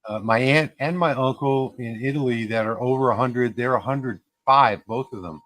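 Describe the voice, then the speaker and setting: A man's voice: medium pitch, normal speed, fairly bright tone, no echo, low-quality recording. A man in his fifties hosting a casual livestream, talking in a rambling way with fillers and restarts. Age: 50s. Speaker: livestream host.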